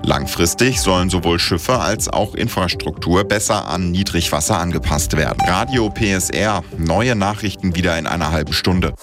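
A man reading the morning radio news in a crisp, measured way. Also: deep voice, over music. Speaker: newsreader.

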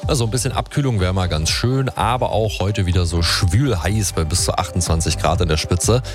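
A man's voice: low voice, a little fast, music in the background. A man hosting a radio morning show, chatty and upbeat, reading weather and traffic.

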